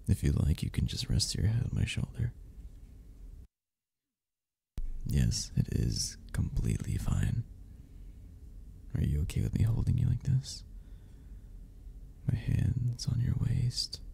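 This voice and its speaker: A man playing a flirty, gentle cuddle club member in an intimate romantic ASMR roleplay, speaking softly with a breathy, gravelly voice that often drops toward a whisper. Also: medium pitch, exaggerated voice. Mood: tender, hushed. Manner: soft, slow, teasing, with frequent chuckles.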